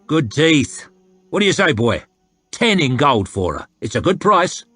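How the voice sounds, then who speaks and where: A man voicing a coarse, bullying soldier who haggles loudly over a price. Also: very animated, a little slow, breathy edge, no room sound, soft background music, heavily acted. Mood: haggling. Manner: loud, high and bullying. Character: coarse loud male slave-driving soldier, crude and haggling.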